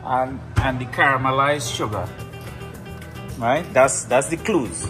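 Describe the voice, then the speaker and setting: A man's voice: medium pitch, a little slow, music in the background. A man hosting a casual vlog, explaining a recipe and often checking in with short tag questions. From Trinidad. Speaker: vlog host. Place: home kitchen.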